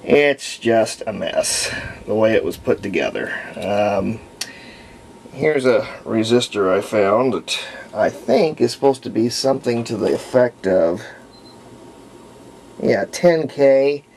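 A man giving casual hands-on repair commentary as a hobbyist, thinking aloud in a halting way with folksy wording. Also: lowish voice, animated, darkish tone. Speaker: hobbyist radio restorer. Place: indoor workbench. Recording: noisy handheld camera audio.

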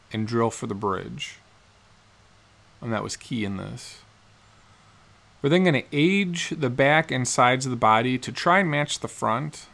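A man in his forties, a guitar builder, calmly explaining each step of his work in a matter-of-fact how-to narration in his workshop. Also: mid-range voice, creaky. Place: cluttered workshop indoors.

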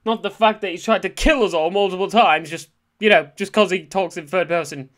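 A performer voicing a sassy, excitable young cat in a comic fan dub of game cutscenes, sarcastic and exclamatory. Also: mid-range voice, brisk, lightly acted. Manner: sarcastic, exclamatory, self-interrupting. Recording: music behind.

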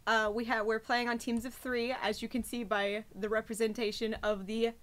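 A woman's voice: on the high side, level tone, normal speed, fairly bright tone. A woman hosting an online quiz stream, chatting casually with friends in a giggly, exclamatory way. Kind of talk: casual group chat on a livestream.

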